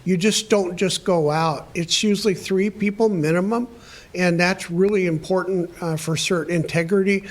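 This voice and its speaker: A man's voice: high-pitched, steady tone, moderate pace. A man answering audience questions on a panel as an emergency preparedness trainer, explaining at length with many restarts.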